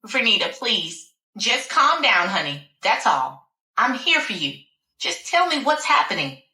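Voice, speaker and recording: A woman voicing a concerned female doctor who keeps gently coaxing and pleading, calling her patient honey and dear. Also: medium pitch, very animated, a little slow, roomy sound, exaggerated voice.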